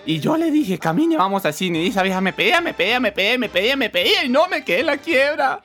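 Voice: medium pitch, big pitch swings, fast talker, bright, forceful tone. A performer with a Colombian accent playing a jumpy, lovelorn male studio technician in a comic radio drama, whining and flustered. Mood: plaintive. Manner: plaintive, flustered, colloquial.

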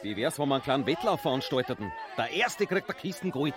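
An actor with an Austrian accent playing a chatty courtier in a farcical radio play. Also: male voice, medium pitch, big pitch swings, quick pace, close to an everyday voice. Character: chatty, fast-talking courtier among the crowd. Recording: music and crowd behind.

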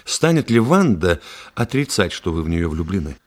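An actor playing a courteous, probing forensic psychiatrist in a Russian radio play, polite and musing in manner. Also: male voice, low-pitched, very animated, moderate pace. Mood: inquisitive. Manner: polite, musing, repeats words while thinking.

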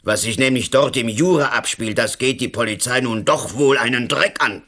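An actor playing an overbearing, smug lawyer and politician in a radio play, pompous, blustering and condescending. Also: male voice, medium pitch, moderate pace, bright, forceful tone.

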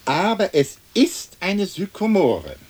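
An actor playing a dignified, earnest lord in a radio play, formal and solemn, with hiss on the old recording. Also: male voice, high-pitched, huge pitch swings, slow pace, a little mellow, slightly rough, full character voice. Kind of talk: stereo radio play acting.

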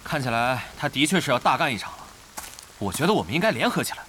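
A man's voice: mid-range voice, big pitch swings, fast talker, sharp tone, a little hiss or hum. A man playing an earnest, urgent organiser at a gamers' guild meeting in an audio drama. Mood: earnest, concerned. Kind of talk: Chinese audio drama dialogue.

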